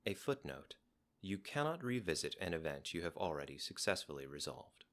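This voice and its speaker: Deep-voiced man reading rules aloud. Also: very animated, moderate pace, a bit bright.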